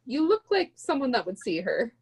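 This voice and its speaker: An actress playing a polite, knowing restaurant hostess with a husky edge, in a dramatic screenplay reading. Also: female voice, fairly high pitch, big pitch swings, normal speed, darkish tone, full character voice. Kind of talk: dramatic table read.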